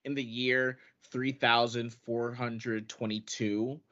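A man's voice: mid-range voice, level tone, slow pace, a bit bright. A man co-hosting a podcast in casual banter, sarcastic and swearing freely. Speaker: podcast co-host. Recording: dull lo-fi podcast audio.

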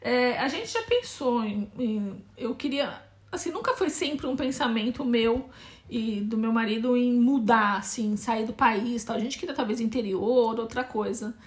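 A woman's voice: a little high, a little slow. A woman in her forties with a Brazilian accent, answering questions in a casual interview, thoughtful and hesitant, often restarting her phrases. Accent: Brazilian Portuguese. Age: 40s. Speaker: interviewee, Brazilian immigrant in Portugal.

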